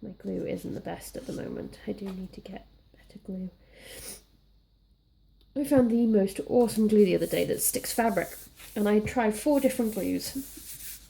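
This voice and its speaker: A young Welsh woman, a little croaky from being sick, chatting casually and rambling while she works on a scrapbook page. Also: mid-range voice, moderate pace, warm tone, poor audio. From Wales. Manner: chatty, rambling, self-deprecating. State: slightly croaky from illness. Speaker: scrapbooking crafter. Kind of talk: casual crafting chat.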